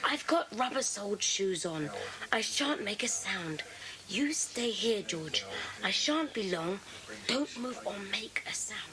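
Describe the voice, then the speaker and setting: A woman's voice: medium pitch, big pitch swings, measured pace. A woman playing a bold, eager schoolboy adventurer with confident old-fashioned phrasing in a children's audiobook drama. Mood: hushed, daring. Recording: hissy old cassette transfer.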